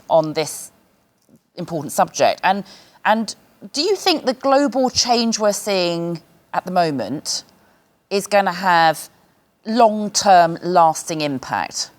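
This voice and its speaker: A woman moderating a panel discussion, putting questions politely and conversationally, often restarting her phrases. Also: mid-range voice.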